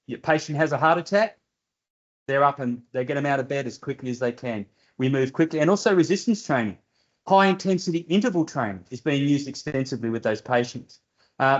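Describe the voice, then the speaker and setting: An older Australian man takes questions in a university seminar room, talking straight and direct with a dry edge of humour. His voice sits at an ordinary pitch, and the recording sounds dull and a little muffled. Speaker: guest lecturer answering audience questions. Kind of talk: seminar Q&A explanation.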